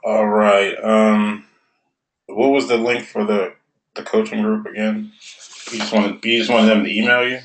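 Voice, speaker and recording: A man hosting a live webinar Q&A, casually reading audience questions aloud with pauses between them. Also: fairly low pitch, flat delivery. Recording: roomy echoey sound.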